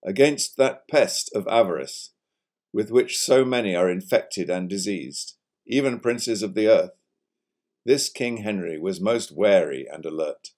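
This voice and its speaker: A man giving a solemn, formal reading of an old historical account in a measured, declamatory way. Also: fairly low pitch, animated, darkish tone. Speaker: college provost giving a reading.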